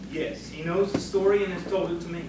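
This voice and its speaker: A man playing a calm, scholarly storyteller in audio theatre, speaking in a measured, knowing, cautioning manner. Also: sits high, close to an everyday voice. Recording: very echoey, distant, noisy room.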